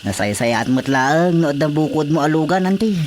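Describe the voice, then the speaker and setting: A woman's voice: low-pitched, animated, normal speed, darkish tone, slight background noise. A woman playing a teasing, chatty countrywoman in an Ilocano radio comedy drama, speaking with an Ilocano accent. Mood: friendly.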